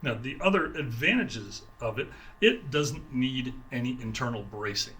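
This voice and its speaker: A man giving an informal product explanation in an interview as a sales director, speaking haltingly with restarted phrases. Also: medium pitch, animated, bright, forceful tone, a little hiss or hum. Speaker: sales director for a loudspeaker maker. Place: exhibit room at an audio trade show.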